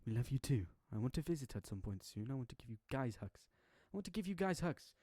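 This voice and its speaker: A man's voice: medium pitch, sing-song, quick pace, dark tone, no echo, clean studio sound. A man voicing a weepy, lovesick teenage girl who sobs and pleads. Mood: tender. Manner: sobbing, pleading.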